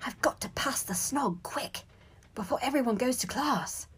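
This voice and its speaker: A woman voicing an eager, gullible young schoolboy with a squeaky voice in a story reading. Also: big pitch swings, moderate pace, slight background noise. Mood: sheepish, flustered. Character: eager, gullible, giddy young schoolboy brother, easily flustered.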